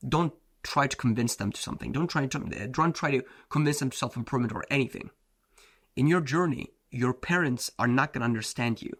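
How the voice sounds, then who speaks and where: A man's voice: medium pitch, fairly quick, a bit bright. A man whose first language is Turkish, a self-improvement coach giving a blunt, slangy motivational talk, often saying "bro" and "like". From Turkey.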